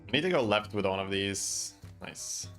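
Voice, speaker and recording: A man giving relaxed, chatty game commentary and answering chat questions, with faint music behind. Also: on the low side, moderate pace. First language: Dutch. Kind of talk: casual gameplay commentary.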